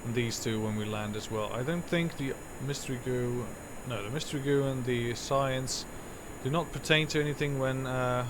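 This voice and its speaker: A man's voice: mid-range voice, normal speed, hardly any pauses, background noise. Chatty Dutch-native man streaming a game. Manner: rambling, self-correcting, chatty. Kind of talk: live gameplay commentary.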